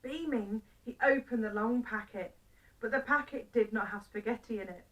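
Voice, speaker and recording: A woman with a fairly high voice narrates a children's story. She uses simple phrases and deliberate pauses, recorded slightly away from the microphone. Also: distant mic, poor audio.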